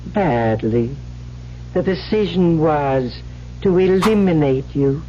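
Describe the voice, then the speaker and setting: A muffled old radio recording carries a cold alien schemer. His voice is fairly high and slow, formal and condescending, with a measured menace. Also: expressive. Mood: cold, superior. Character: cold, superior male alien plotting humanity's destruction. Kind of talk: 1970s radio drama acting. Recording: dull, faintly hissy old radio recording.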